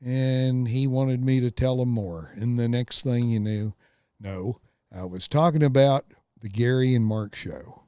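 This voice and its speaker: An easygoing man in his sixties spins a story at an unhurried pace for his podcast. His voice is mellow and dark, heard dry and close, with no room around it. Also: mid-range voice, smooth, no echo. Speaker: podcast co-host. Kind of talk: rambling casual chat. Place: outdoors on a lakeside tower.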